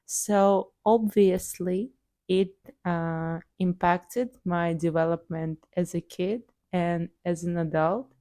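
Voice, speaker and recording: A woman's voice: on the low side, fairly even intonation, slow, mellow, no echo. A young adult woman with a Russian accent, telling her personal story in a casual video diary, full of fillers. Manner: conversational, many fillers like "like" and "um". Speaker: vlogger, psychologist.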